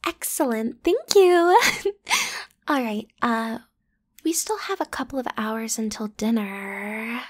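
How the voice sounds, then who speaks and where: A woman playing a bratty, playful popular student girl in an ASMR roleplay, with casual, slangy, teasing delivery. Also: a little high. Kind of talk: ASMR roleplay acting, one side of a conversation.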